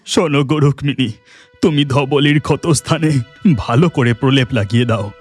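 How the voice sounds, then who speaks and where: A man voicing an excitable village fisherman in a cartoon, with a dramatic, exclamatory delivery. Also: medium pitch, moderate pace, slightly rough, soft background music, natural voice. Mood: relieved, grave. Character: excitable village fisherman devoted to his cow.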